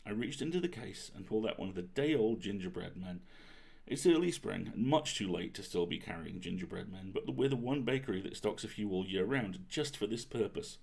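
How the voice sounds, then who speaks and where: Gravelly man reading a bedtime story. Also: on the low side, expressive, a little fast, a little mellow. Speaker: storyteller, livestream host.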